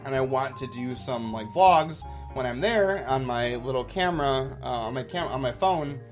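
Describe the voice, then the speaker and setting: A man's voice: mid-range voice, moderate pace, soft background music. A man giving casual, off-the-cuff commentary as a YouTuber, with an enthusiastic, rambling manner.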